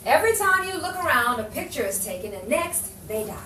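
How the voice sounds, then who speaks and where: A woman's voice: high-pitched, sing-song, a little fast, pushed and bright, heavily acted. A woman playing a frightened, distrustful crime witness in an improvised noir radio play. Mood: wary, alarmed. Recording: echoey room, distant mic, faint noise.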